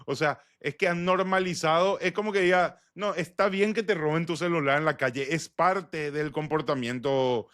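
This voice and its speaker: A man's voice: on the high side, moderate pace, hardly any pauses, a bit bright. Man hosting, ending points with tag questions. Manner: argumentative, seeks agreement with tag questions. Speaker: podcast host. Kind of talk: conversational interview.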